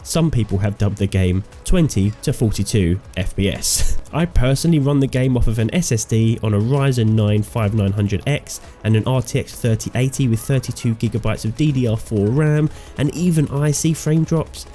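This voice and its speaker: A man's voice: mid-range voice, moderate pace, hardly any pauses, dark tone, soft background music. A man with a cold and a slightly nasal voice, reading a scripted, opinionated game review as host. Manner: opinionated, sardonic. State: sick, nasal voice. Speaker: gaming video host.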